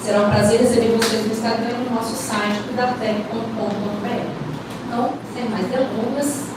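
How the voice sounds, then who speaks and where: A woman's voice: on the high side, steady tone, a little fast, heavy background noise. A woman hosting a seminar, introducing the speakers hesitantly and with many fillers. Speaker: seminar host. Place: lecture hall. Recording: echoey hall from far off.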